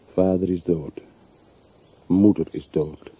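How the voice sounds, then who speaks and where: A man's voice: low voice, fairly even intonation, slow pace, husky, heavily acted. An actor in a radio drama playing a brooding, bitter man from a rich family who mourns his dead in melancholy monologue. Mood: grieving, subdued. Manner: melancholy, reflective, literary monologue. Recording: muffled, narrow-band old radio play recording.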